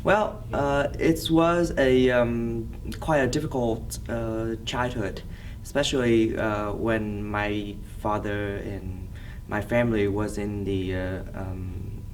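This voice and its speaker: A man's voice: fairly low pitch, fairly even intonation. A man in his thirties from Vietnam, a native Vietnamese speaker with a Vietnamese accent, answering interview questions in a hesitant way, searching for words. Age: 30s. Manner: hesitant, halting, frequent pauses searching for words. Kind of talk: oral history interview, recollection. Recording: somewhat distant mic, faint background noise.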